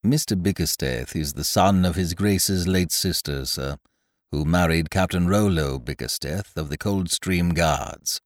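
A man reading aloud, voicing an all-knowing male valet who speaks in a formal, measured, deferential manner. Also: deep voice, no room sound, clean studio sound, voice pushed down.

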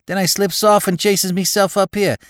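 A man voicing a cheeky, excitable young Bowery crook with a New York accent, talking in rapid slang. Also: high voice, no echo, studio quality, pitched above the performer's own voice, natural voice. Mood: excited.

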